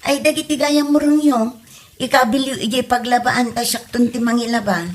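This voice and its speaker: A woman's voice: fairly high pitch, steady tone, normal speed, slight background noise, close to an everyday voice. A woman playing a dutiful, caring grown daughter with an Ilocano accent in a comedy drama. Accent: Filipino, Ilocano.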